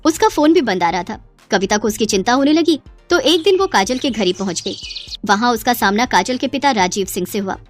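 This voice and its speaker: Expressive woman storyteller. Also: a little high, a little fast, faint music behind. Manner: expressive, dramatic. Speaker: narrator. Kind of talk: scripted storybook narration.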